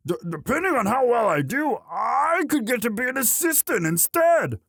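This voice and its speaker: Man playing a gravelly, sweetly halting young orc. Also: very high-pitched, big pitch swings, fairly bright tone, no room sound, clean studio sound, exaggerated voice. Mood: excited, sheepish. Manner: halting, stammering, bashful earnest delivery. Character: young male orc, gentle earnest himbo sweetheart. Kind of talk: intimate romantic roleplay audio drama.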